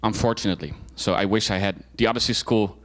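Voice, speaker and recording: A man's voice: on the low side, quick pace, a bit bright. A young adult man from the Netherlands, telling his personal story as a guest speaker with many fillers and restarts. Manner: informal, frequent fillers and restarts. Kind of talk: personal talk to a club audience.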